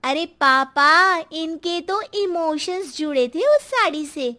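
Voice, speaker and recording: A woman's voice: extremely high pitch, animated, slow pace, bright, forceful tone, full character voice. A woman playing a spoilt, rude college-age daughter in a dramatised story, petulant and mocking. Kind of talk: dramatised Hindi moral story.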